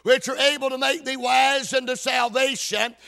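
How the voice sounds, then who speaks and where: A man's voice: very high voice, little pitch movement, moderate pace, gravelly, bright, forceful tone, faint music behind. A man preaching a fervent evangelical sermon in a church, with an emphatic, declamatory delivery in short bursts. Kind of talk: fervent evangelical sermon with scripture reading and prayer. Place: church service.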